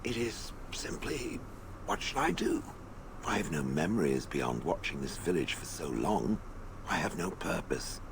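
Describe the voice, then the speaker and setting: An ancient stone golem from a fantasy video game, very low and full of gravel. The haughty, formal delivery is drily contemptuous yet swings widely in pitch, over clearly noisy game audio. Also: unhurried, full character voice. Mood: musing, puzzled. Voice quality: deep, gravelly. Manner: haughty deadpan, formal phrasing, addresses people as "it". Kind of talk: video game character acting.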